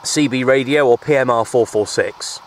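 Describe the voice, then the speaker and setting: A man's voice: medium pitch, normal speed. British man in noisy outdoor recording. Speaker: CB radio hobbyist vlogger. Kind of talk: casual outdoor vlog chat. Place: outdoors at a windy makeshift shelter.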